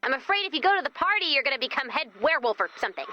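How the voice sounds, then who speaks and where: A woman's voice: high-pitched, sing-song, very fast, bright, forceful tone, lo-fi sound, faint music behind, exaggerated voice. A woman playing a peppy, encouraging young mission leader in a video game, chatty and coaxing. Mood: planning. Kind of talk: video game character voice acting.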